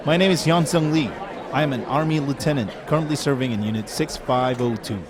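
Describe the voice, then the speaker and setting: A man's voice: mid-range voice, a little fast, crowd noise, lightly acted. A man playing a composed, authoritative young army lieutenant, firm and formal, in an audio drama.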